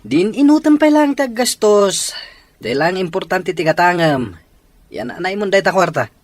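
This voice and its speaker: A man's voice: high voice, sing-song, moderate pace, no room sound. An actor playing a worried father out of work in a radio drama. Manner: earnest, worried. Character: struggling father out of work, weary.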